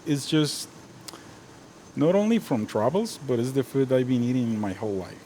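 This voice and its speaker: Easygoing chef born in Guatemala, mellow. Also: male voice, mid-range voice, big pitch swings, normal speed, slight background noise, rough recording. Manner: easygoing, many "you know" fillers. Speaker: restaurant chef. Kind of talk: casual interview chat.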